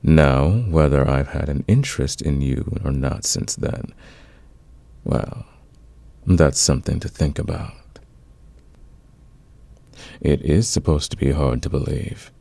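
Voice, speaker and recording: A man playing a smitten, dominant fantasy villain in a romantic ASMR roleplay, speaking in an intimate, teasing, confident way with a gravelly, husky voice. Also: extremely low pitch, slow, pauses often, dark tone, dry recording, full character voice. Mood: confessional, seductive. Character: smitten male fantasy villain, patient, dominant, smoothly seductive.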